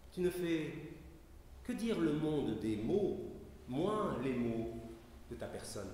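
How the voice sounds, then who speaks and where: A man giving a live sound poetry reading on a festival stage, reciting slowly and measuredly with wide swings in pitch and loudness. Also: a little hiss or hum. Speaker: poetry performer. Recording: very echoey hall, live festival capture.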